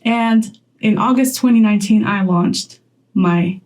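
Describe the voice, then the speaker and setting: A woman's voice: medium pitch, measured pace, a little mellow. A young woman from Poland talking straight to camera in a chatty vlog, laughing often as she gives advice. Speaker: content creator.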